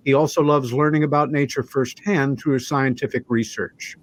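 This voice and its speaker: A man with a mid-range voice hosts a talk show. He phrases things deliberately and keeps a conversational interviewing style. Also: medium pitch, steady tone.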